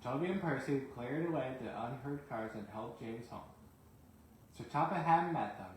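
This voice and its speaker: A man's voice: mid-range voice, lively intonation, moderate pace, a little mellow. A man reading a children's bedtime story aloud as the storyteller. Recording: very echoey room, faint noise.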